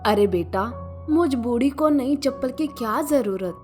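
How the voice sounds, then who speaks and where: A woman's voice: high-pitched, expressive, unhurried, a little mellow. A woman playing a humble, affectionate old village mother in a cartoon story, exclaiming expressively. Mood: humble, fond. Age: older. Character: humble, fond old village mother who cleans houses. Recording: music bed behind the voice.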